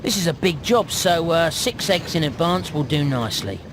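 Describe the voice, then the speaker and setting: A man playing a cheeky, shifty rat salesman in an animated game, with oily, theatrical patter. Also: fairly high pitch, moderate pace, noisy background, close to an everyday voice. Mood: wheedling, haggling. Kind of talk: animated video game voice acting.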